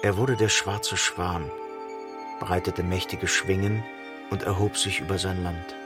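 Solemn, deliberate narrator for radio drama, lower register, elegiac, measured phrases, over music. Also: male voice, flat delivery. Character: solemn narrator echoing a doomed king's thoughts. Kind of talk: literary radio play narration. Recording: music behind the voice.

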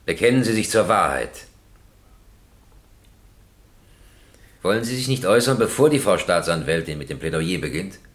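A man's voice: deep voice, lively intonation, fast talker. An actor playing a stern presiding judge in a German radio crime drama, formal and probing in his questioning. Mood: admonishing.

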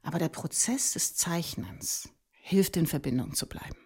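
Low, creaky-voiced musing woman mathematician. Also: lively intonation, normal speed, a little mellow, exaggerated voice. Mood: reflective. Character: dreamy female Iranian mathematician, a mother, musing and imaginative. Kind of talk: radio play monologue.

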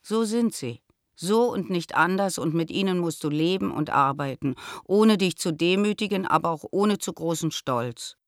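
Low-voiced, lecturing mother. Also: lively intonation, moderate pace, dry recording, clean studio sound. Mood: admonishing, concerned. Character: concerned, admonishing mother writing to grown daughter. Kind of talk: radio play letter reading.

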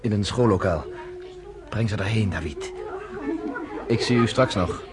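A man's voice: lowish voice, measured pace, a crowd in the background, close to an everyday voice. An actor playing a bitter, commanding man who runs a refugee camp, in a radio drama.

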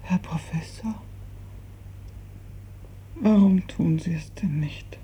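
An actress playing a gravely ill, bedridden woman in a 1950s radio drama, fearful and imploring, her weak, halting voice often sinking to a whisper. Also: fairly low pitch, dark tone, full character voice. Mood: anguished, accusing. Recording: muffled 1950s radio recording.

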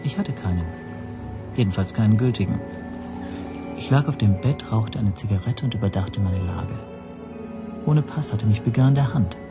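A man's voice: lowish voice, lively intonation, normal speed, pauses often, over music. An actor playing a stateless Hungarian teacher who recounts his ordeal reflectively in a scripted radio drama. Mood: brooding. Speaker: first-person narrator.

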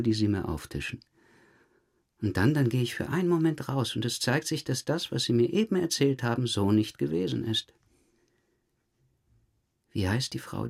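An actor playing a patient, probing police detective in a crime drama, controlled and deliberate in his questioning. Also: medium pitch, vocal fry, a little mellow, husky. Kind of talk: German crime radio drama dialogue.

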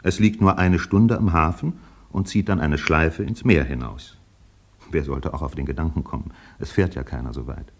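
A man playing a reflective, melancholy narrator in a literary radio play, with deliberate, solemn phrasing. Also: extremely low pitch. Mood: sombre, measured.

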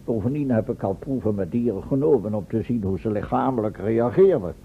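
Pompous professor, very lively pitch swings. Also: male voice, a little fast, creaky. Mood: explaining. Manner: lecturing, formal, stumbles into self-corrections. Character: pompous inventor professor, long-winded, evasive, proud of his machine. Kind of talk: Dutch radio play acting. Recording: tinny band-limited old radio recording, faint noise.

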